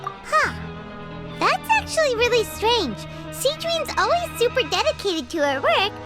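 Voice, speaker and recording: Teeny squeaky fairy sidekick girl from a game, super high and all over the place, excitable, chatty. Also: unhurried, full character voice. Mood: puzzled. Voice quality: squeaky, childlike. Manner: excitable, refers to self in third person. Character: tiny childlike female floating fairy companion, chatty, indignant. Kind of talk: video game voice acting. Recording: background music throughout.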